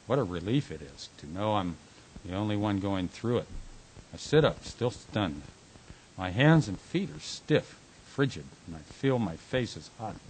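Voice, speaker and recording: An actor playing an anguished, brooding husband haunted by nightmares in a radio drama, speaking haltingly with big swings in intensity. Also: male voice, lowish voice, animated, darkish tone, poor audio. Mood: drained, bitter. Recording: hissy amateur workshop recording.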